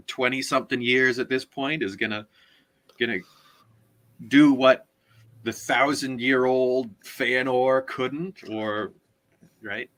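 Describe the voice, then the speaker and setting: A man's voice: medium pitch, sharp tone. A man co-hosting a book discussion, making his point in casual conversation.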